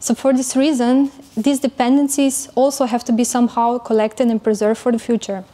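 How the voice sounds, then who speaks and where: A woman giving an academic conference presentation in English, speaking carefully with frequent pauses and fillers. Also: on the high side, flat delivery, mellow. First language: not German.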